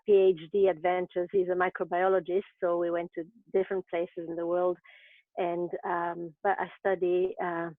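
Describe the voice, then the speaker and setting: A woman's voice: fairly low pitch, no echo, phone-quality sound. A woman from France with a French accent, speaking reflectively with many fillers in a webinar. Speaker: program co-founder presenting. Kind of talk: webinar talk. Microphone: video call.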